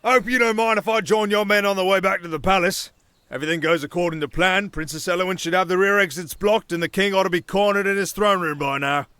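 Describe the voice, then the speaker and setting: Guy doing an easygoing soldier, wry and chatty, bright voice, dry recording, fantasy audio drama. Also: sits high, quick pace, clean tone, dry recording. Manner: breezy, wry, conversational. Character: easygoing, wry male soldier serving a rebel princess. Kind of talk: ASMR roleplay audio drama acting.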